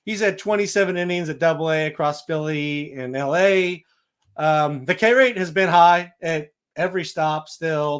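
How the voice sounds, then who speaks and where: A man's voice: a little high, a bit bright. A man hosting a sports podcast, giving casual, matter-of-fact baseball analysis.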